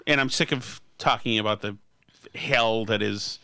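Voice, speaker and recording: Bright, crisp American man, sardonic. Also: on the low side, a little slow, no room sound. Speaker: podcast host. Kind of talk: casual political chat.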